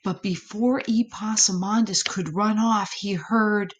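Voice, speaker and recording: A woman narrating a children's story expressively, with dramatic, deliberate pauses. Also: mid-range voice, fairly even intonation, lo-fi sound, slight background noise. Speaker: storybook reader.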